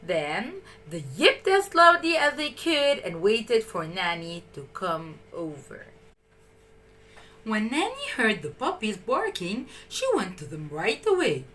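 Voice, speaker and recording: Woman, children's storyteller, slow deliberate pace, hugely varied intonation, expressive read-aloud. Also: mid-range voice. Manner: animated, theatrical, deliberate delivery.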